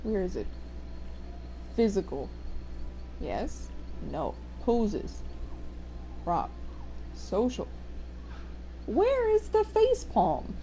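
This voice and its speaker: A young woman talks through her game stream in an animated, joking way, her voice fairly deep for a woman. Her pitch jumps around a lot as she tells stories and swears offhandedly. There's a light hiss in the background. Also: very slow, long pauses, low-quality recording. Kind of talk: casual gameplay chat.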